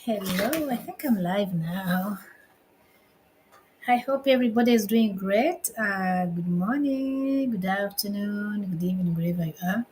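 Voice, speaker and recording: Slow-talking woman from Uganda. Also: medium pitch, animated, a little mellow, slight background noise, rough recording. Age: 50s. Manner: unhurried, repeats phrases, halting restarts. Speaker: livestream host. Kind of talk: casual live-stream talk.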